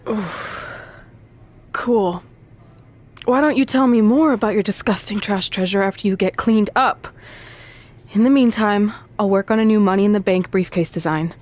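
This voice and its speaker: A woman's voice: medium pitch, normal speed, pauses often, darkish tone, close to an everyday voice. A woman playing an eager, earnest young female wrestler in a video game, chatty with a dry, self-deprecating wit. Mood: wry, awkward. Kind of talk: video game cutscene acting, conversational.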